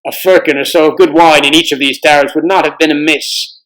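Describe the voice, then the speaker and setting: A man reads aloud as a noble storybook king, pitched a little high for a man. He is grave and commanding yet kindly, and his phrasing is old-fashioned and courtly. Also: steady tone, brisk, fairly bright tone, lightly acted, rough recording. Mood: confident, commanding. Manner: archaic, formal speech.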